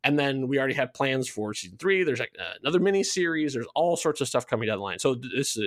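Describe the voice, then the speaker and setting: A man's voice: medium pitch, very animated, fast talker, dry recording, clean studio sound. A man speaking as a show creator in a casual promotional chat, rambling along in a jokey, self-deprecating way. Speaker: show creator being interviewed.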